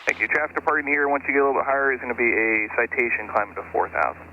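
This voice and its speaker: Muffled radio, male approach controller, extremely rapid procedural patter, forceful tone. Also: medium pitch. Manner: brisk procedural delivery. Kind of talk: air traffic control advisories. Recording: dull aviation radio with faint noise.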